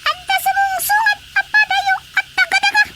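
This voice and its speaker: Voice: very high-pitched, level tone, normal speed. An actor playing an insistent comic character in an Ilocano radio drama comedy, scolding and urging. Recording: very noisy radio drama with animal sounds.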